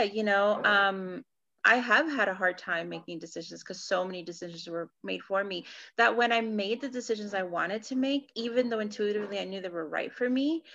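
A woman's voice: mid-range voice, creaky, a bit bright, highs cut off. A woman in her forties from California, co-hosting a casual podcast and thinking aloud, often checking in with "right?". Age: 40s. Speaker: podcast co-host. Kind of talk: casual personal chat.

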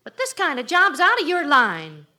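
A woman's voice: high voice, huge pitch swings, normal speed, sharp tone, exaggerated voice. An actress playing a sensible, earnest middle-aged American wife and mother in an old radio comedy. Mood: firm pleading. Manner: earnest, firm. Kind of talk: 1940s radio comedy acting.

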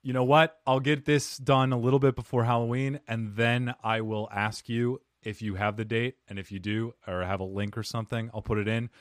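A man's voice: medium pitch, little pitch movement, moderate pace, hardly any pauses, no room sound, clean studio sound. A man hosting a podcast interview, chatting in a playful, self-deprecating way. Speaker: podcast host. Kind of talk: casual interview chat.

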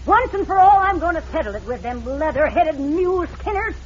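A woman's voice: sits high, expressive, fairly quick. A woman acting a tough, bossy frontier freight boss with a rural Western American accent, brusque and folksy, in an old radio western. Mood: indignant. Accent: rural American West. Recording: muffled, hissy old radio recording.

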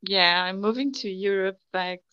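A woman from Brazil, a podcast guest chatting casually in halting phrases that restart often. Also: medium pitch, clean tone, dry recording, highs cut off.

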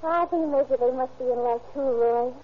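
A woman's voice: sits high, normal speed, faint music behind, close to an everyday voice. An actress playing a sweet, earnest young woman in love, in a 1940s radio drama with a wistful, gushing manner. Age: young adult. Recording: tinny lo-fi old radio broadcast.

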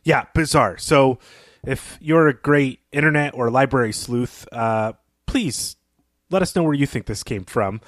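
A man co-hosting a podcast, discussing fiction conversationally in a measured, analytical manner. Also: mid-range voice, no room sound, clean studio sound. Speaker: podcast co-host.

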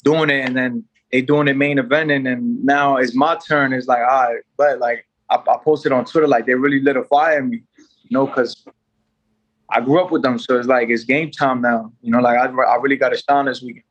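A man's voice: mid-range voice, fairly even intonation, normal speed, highs cut off. A man in his twenties from New York, a boxer guest talking in a casual, rambling way with many fillers and restarts. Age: 20s. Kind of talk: casual interview chat.

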